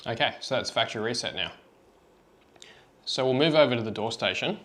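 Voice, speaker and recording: A man's voice: medium pitch, animated, fairly quick, a bit bright, slight background noise. A man presenting a hands-on product tutorial, explaining each step in an informal, practical way. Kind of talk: hands-on how-to demonstration.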